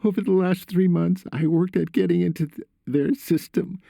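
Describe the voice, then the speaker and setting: Audiobook character: frightened, tearful son, played by a man. High, whiny, trembling, pleading delivery. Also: fairly even intonation, moderate pace, creaky, warm tone, no room sound. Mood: earnest. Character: frightened tearful teenage son confessing on the phone.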